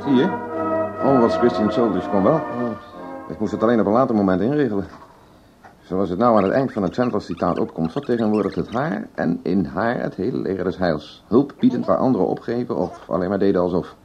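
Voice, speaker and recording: An actor playing an earnest, easily indignant radio programme maker, self-assured and emphatic, in a radio drama. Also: male voice, fairly low pitch, expressive, brisk, pauses often, a little mellow. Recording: dull radio drama recording with music.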